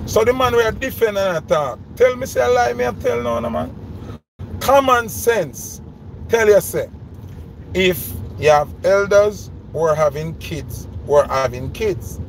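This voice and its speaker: A Jamaican man in his thirties rants on camera from the driver's seat, his gravelly voice pitched a little high. He hammers his points with repeated questions, slipping between Patois and English. The phone picks up noticeable car noise behind him. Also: lively intonation, moderate pace. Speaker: vlogger. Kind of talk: ranting commentary. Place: inside a parked car. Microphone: phone camera held close. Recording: noisy car interior.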